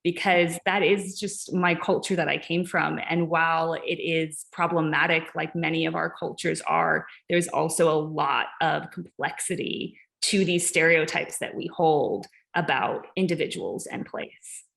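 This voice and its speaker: A woman's voice: deep voice, steady tone, a little slow, creaky, fairly bright tone. A woman from the US South with a faint Southern accent, answering questions thoughtfully over a video call during an artist talk. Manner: reflective, with frequent restarts. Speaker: visiting artist.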